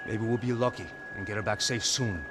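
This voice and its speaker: An actor playing the composed, reassuring brother in a rich Brazilian family, in a cinematic video game. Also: male voice, fairly low pitch, brisk, lightly acted. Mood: consoling. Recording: music behind the voice.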